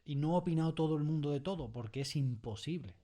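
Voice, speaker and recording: A man with an accent from Spain and a slightly high voice talks in a casual interview. He is animated and emphatic, often repeating phrases and voicing little quotes as he explains. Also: fast. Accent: Spanish from Spain. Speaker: audio series creator being interviewed. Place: recording studio.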